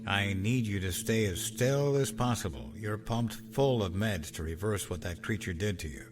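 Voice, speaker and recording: Low-voiced fatherly ship's doctor played by a man. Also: lively intonation, normal speed, music in the background, natural voice. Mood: calm, reassuring. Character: calm, fatherly male ship's doctor, reassuring, practical. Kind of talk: science fiction audio drama acting.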